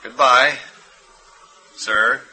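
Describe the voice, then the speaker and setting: An actor playing a callow, sly young accountant turned thief in an old-time radio drama. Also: male voice, medium pitch, steady tone, drawn-out words, background noise. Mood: subdued.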